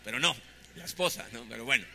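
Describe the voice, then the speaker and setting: Bright-voiced man preaching in an echoey hall. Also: medium pitch, lively intonation, fast, creaky, a little hiss or hum. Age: 50s. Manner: conversational preaching with frequent "¿no?" tags. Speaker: pastor. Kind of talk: sermon.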